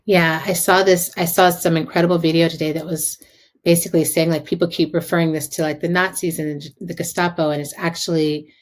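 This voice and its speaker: Podcast host: American woman, low pitch, casual and reflective interview style. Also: little pitch movement, a little fast.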